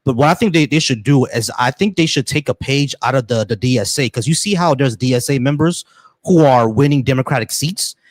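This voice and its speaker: A man's voice: mid-range voice, no room sound. A man in his thirties hosting a casual political call-in show, slangy with many restarts. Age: 30s. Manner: rapid, repetitive restarts, slangy. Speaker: host.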